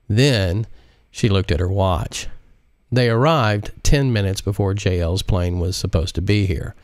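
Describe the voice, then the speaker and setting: A man reading his own novel aloud in a relaxed live audiobook stream, with chatty asides. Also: low-pitched, no echo, clean studio sound.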